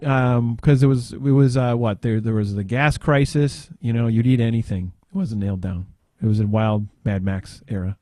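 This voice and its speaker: A middle-aged man chatting casually on a podcast, explaining things in a rambling, halting way with trailing pauses. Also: mid-range voice, fairly even intonation, warm tone, no echo, clean studio sound.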